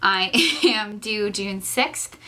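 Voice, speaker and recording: A young woman talks casually to her camera, her voice bright and clear at a middle pitch. She sounds stuffed up and nasal from lingering congestion, a little breathless, and she rambles with plenty of fillers and soft laughs. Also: fairly even intonation, very slow. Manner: chatty, rambling with frequent "like" and "um"; laughs often between phrases. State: short of breath. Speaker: vlogger.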